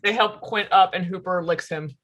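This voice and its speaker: A woman's voice: fairly low pitch, moderate pace, fairly bright tone. A woman reading stage directions as scripted narration at a table read.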